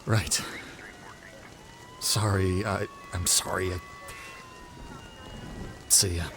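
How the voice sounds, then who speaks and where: An actor playing a brooding, wounded young vigilante in a comic dub, low-key and hesitant. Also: male voice, flat delivery, drawn-out words, background noise, heavily acted. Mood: hesitant, hurt.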